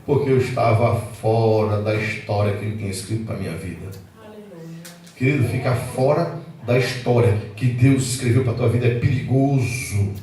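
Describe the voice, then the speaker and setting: A Brazilian pastor in his forties preaching a sermon with fervor, swinging from loud outbursts to low, earnest tones. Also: moderate pace. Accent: Brazilian Portuguese. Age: 40s. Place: church hall. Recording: echoey hall, mic at a distance.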